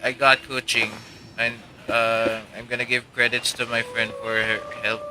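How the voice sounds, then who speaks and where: Very bright, punchy young male streamer. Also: medium pitch, level tone, moderate pace, a little hiss or hum, rough recording. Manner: slangy, rambling, jokey. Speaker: live streamer. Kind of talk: casual game stream chat with friends. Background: faint game music.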